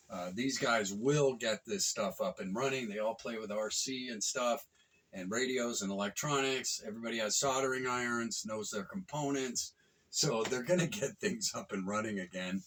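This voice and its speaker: Guy doing a casual gear review, husky rough voice, folksy and emphatic, sounds a bit away from the mic. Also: medium pitch, a bit bright, recorded from a distance. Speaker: product reviewer vlogger. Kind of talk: casual informal product review chat.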